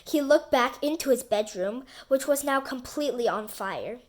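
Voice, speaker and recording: High-pitched woman reading a children's story. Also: lively intonation, moderate pace. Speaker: storybook reader. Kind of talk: expressive children's audiobook narration.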